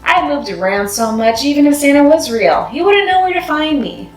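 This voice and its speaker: A woman's voice: high voice, lively intonation, moderate pace, distant mic, pitched above the performer's own voice. A woman voicing a lonely, doubtful young schoolboy from a children's storybook, hesitant and downcast. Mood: glum, doubtful. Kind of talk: children's storybook read-aloud. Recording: roomy echo, music behind.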